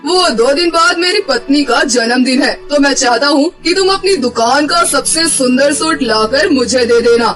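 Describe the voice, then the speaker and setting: A man's voice: very high voice, a bit bright. A man voicing a middle-aged landlord uncle in a cartoon story, speaking in an emphatic, familiar elder's manner. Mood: friendly. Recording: distant voice over faint music.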